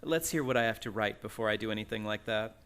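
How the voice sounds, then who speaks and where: A man's voice: on the low side, fast talker, a bit bright, smooth. An actor playing a proud, nerve-racked young man in a suspense radio play, earnest and tense. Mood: defiant, wary.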